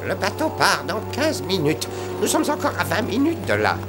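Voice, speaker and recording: Formal, deferential cartoon aide, hugely varied intonation, loud score behind. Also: male voice, high voice, wildly swooping pitch, moderate pace. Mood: worried. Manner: polite, formal. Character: deferential, puzzled male assistant to a gentleman. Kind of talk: dubbed animated drama acting. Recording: voice over loud music.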